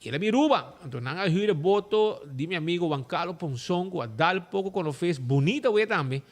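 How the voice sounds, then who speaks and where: Lively high-voiced Aruban man on radio. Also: a little fast. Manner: lively, rambling, mixes languages. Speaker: radio show host. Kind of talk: casual radio talk with ads.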